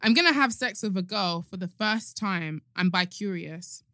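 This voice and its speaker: A woman's voice: medium pitch, animated, normal speed, pushed and bright, dry recording, studio quality. A young woman, hosting a casual podcast chat in a playful, teasing way. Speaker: podcast host.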